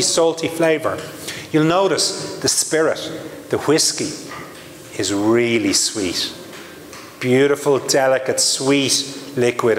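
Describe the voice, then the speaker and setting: Irish man pitching whisky in an echoey hall. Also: a little hiss or hum. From Ireland. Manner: lively salesman patter, audience asides. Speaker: brand ambassador presenter. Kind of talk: live trade presentation.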